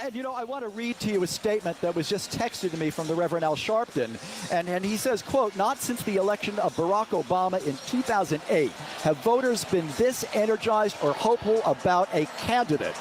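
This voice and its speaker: A man reporting live on election night, explaining his points in an emphatic, measured way over background noise. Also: sits high. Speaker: TV news reporter.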